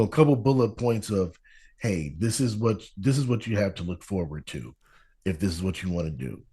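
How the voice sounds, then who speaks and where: Male podcast host; low, slightly husky, casual and rambling. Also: warm tone. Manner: rambling, lots of filler and restarts. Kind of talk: casual interview chat.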